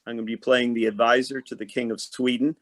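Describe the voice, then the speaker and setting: A man's voice: medium pitch, level tone, fast talker. A man who works as an actor, talking casually and at length as a podcast guest, explaining things in an orderly way. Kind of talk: casual conversation about work.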